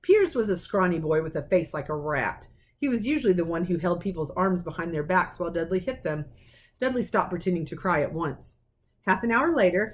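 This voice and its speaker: A woman reading a children's novel aloud as a teacher would, in an expressive storytelling manner. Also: fairly low pitch, a little hiss or hum. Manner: animated, acts out dialogue.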